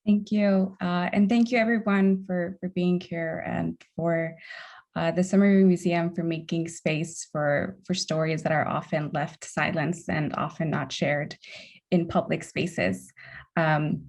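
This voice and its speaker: A woman's voice: lowish voice, steady tone, unhurried, vocal fry, darkish tone. A young woman whose first language is Spanish, speaking earnestly as a panelist at a community event. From United States. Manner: earnest, with frequent "um" and "uh". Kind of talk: panel talk.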